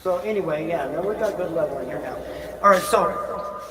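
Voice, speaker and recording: A man telling a casual, rambling story on a livestream in a halting, repetitive, folksy way. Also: a little high, pushed and bright, slight background noise. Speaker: livestream host. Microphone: stream microphone.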